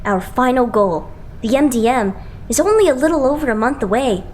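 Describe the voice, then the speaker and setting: A woman voices a quirky young anime idol with a high, swooping voice. The delivery is dramatic and exclaiming, like an ordinary kid overwhelmed by everything, with faint noise behind. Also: expressive, a little fast, a little mellow, lightly acted. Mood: glum, sheepish. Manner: theatrical, exclaiming. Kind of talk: anime game fan dub acting.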